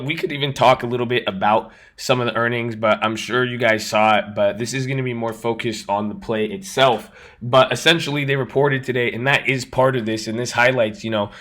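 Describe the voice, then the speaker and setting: A man with a raspy voice, just over an illness, talking casually as host of a trading channel. He rambles and repeats himself as he walks through his screen. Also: mid-range voice, fairly bright tone. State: recovering from illness. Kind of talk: casual screen-share explanation.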